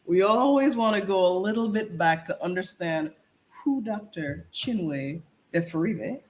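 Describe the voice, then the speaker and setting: A show host opening an interview in a chatty, animated way, repeating words when asking a question. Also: medium pitch, measured pace. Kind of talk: conversational interview. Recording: echoey room.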